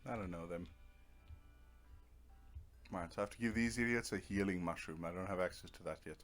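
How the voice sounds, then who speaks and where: A man's voice: sits low, a little fast, fairly bright tone, soft background music. A man giving casual playthrough commentary as host of a gaming video, with offhand, mildly mocking asides.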